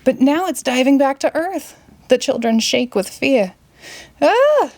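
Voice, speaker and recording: A woman with a Boston accent reading a rhyming children's story aloud in an expressive, playful way. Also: high-pitched, normal speed. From Massachusetts, United States. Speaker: storybook reader.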